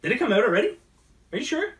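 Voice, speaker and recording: A young man chatting casually as a co-host, halting and repeating his phrases. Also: very high-pitched, big pitch swings. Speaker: talk show co-host. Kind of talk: casual chat. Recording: slightly distant from the mic.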